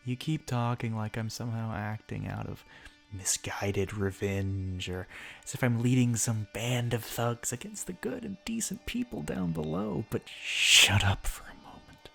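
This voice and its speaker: A man's voice: medium pitch, measured pace, creaky, pushed and bright, faint music behind. A man playing a bitter, mocking villain in an ASMR roleplay monologue, speaking theatrically with sardonic asides and often dropping toward a whisper. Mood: exasperated, menacing. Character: bitter, mocking male villain revolutionary monologuing at a fallen hero. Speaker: voice actor in an audio roleplay.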